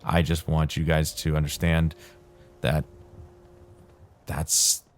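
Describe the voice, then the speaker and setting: Very deep-voiced man, calm rambling gamer. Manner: calm, soothing, rambling delivery with laughs. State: dry, tired throat. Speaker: gaming channel host. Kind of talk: casual rambling commentary over gameplay.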